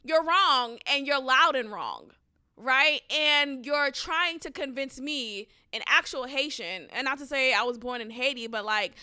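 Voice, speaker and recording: Bright-voiced emphatic young woman. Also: high voice, unhurried, clean tone, dry recording, clean studio sound. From United States. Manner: animated, emphatic, profane. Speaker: podcast host. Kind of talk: casual storytelling.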